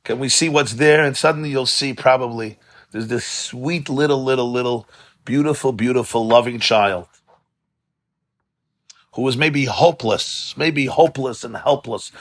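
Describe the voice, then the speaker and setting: A man's voice: medium pitch, moderate pace, a bit bright. A man, a rabbi, giving an informal religious lecture in a study hall, impassioned and conversational, repeating words for emphasis and mixing in Yiddish and Hebrew terms. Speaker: rabbi teaching a class.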